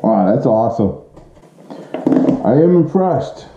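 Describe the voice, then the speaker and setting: A man's voice: mid-range voice, big pitch swings, slow pace. A middle-aged man giving a casual, plain-spoken product walkthrough in informal, colloquial language, as a vlogger. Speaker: vlogger showing motorcycle gear. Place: home kitchen, indoors. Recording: muffled, boxy room echo, noticeable hiss.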